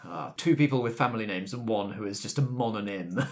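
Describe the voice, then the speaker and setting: A man's voice: fairly low pitch, fairly quick. Male game master hosting a show. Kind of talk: actual-play podcast hosting, explaining game rules.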